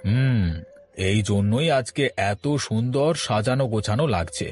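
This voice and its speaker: A man's voice: on the low side, normal speed, darkish tone, soft background music, close to an everyday voice. A man voicing a kind, honest shopkeeper and father in a moral-story cartoon, speaking in a welcoming, earnest way. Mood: pleased.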